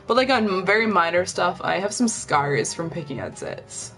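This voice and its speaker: Low-voiced, blunt young woman streamer. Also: lively intonation, normal speed, fairly bright tone. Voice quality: lower voice than most women. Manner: blunt, profane, rambling with many "like"s. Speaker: live streamer. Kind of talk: casual chat on a live stream. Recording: faint music behind. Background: faint music.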